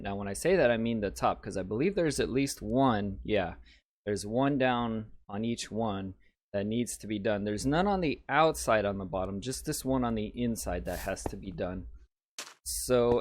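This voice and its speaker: A man with a slightly raspy voice hosting a casual gameplay video, rambling and chatting straight to his viewers. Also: mid-range voice. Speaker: let's play host. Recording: steady background noise.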